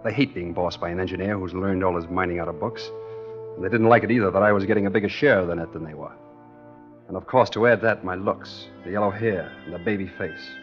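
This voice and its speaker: An actor playing a cocky, ruthless young mining engineer with an American accent and slangy, insolent talk in a 1940s radio drama. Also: male voice, low voice, fast, lightly acted. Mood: hard-boiled narration. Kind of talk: 1940s radio acting with first-person narration. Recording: muffled 1940s radio recording, faint music.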